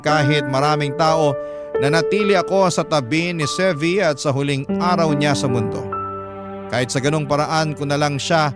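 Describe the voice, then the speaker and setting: A man narrating a letter as a radio drama host, sentimental and dramatic, with drawn-out phrasing. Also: on the high side. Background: prominent music.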